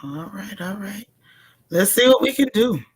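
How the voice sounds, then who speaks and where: A woman hosting a casual live recap chat, with a loud, gravelly, hoarse voice, greeting viewers by name with folksy slang. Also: mid-range voice, expressive, measured pace. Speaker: livestream host.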